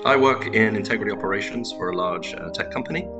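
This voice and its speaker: Halting, deep-voiced man with a Turkish first language. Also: loud music behind the voice. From England. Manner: halting, often repeats words while thinking. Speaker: podcast guest. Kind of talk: casual interview conversation.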